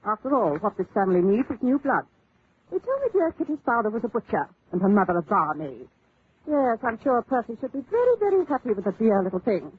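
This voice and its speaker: An actress playing a haughty, catty upper-class English society widow in a 1940s radio drama, airy and sweetly venomous. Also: female voice, a little high, sing-song, quick pace, breathy edge, no echo, full character voice. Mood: airy, sly. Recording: tinny, noisy old-time radio recording.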